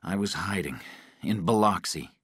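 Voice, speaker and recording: A man's voice: low voice, a little slow, a bit bright, husky, dry recording, studio quality, heavily acted. A man voicing a terrified male captive who pleads with his tormentor in an audiobook. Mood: anxious, cooperative. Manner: pleading, frightened. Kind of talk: audiobook character dialogue.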